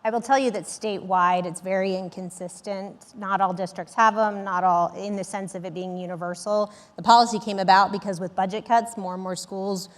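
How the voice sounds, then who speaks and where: Mid-pitched woman panelist explaining. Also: fairly even intonation, fairly quick, hardly any pauses. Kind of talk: panel discussion, explanatory talk.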